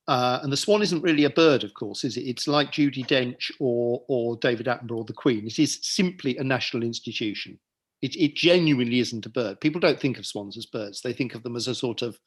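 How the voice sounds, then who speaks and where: A man's voice: mid-range voice, fairly quick, husky. A man talking as an author at an online book launch, chatty and self-deprecating, with joking asides, over a video call. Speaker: author and guest. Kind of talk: casual book-launch conversation.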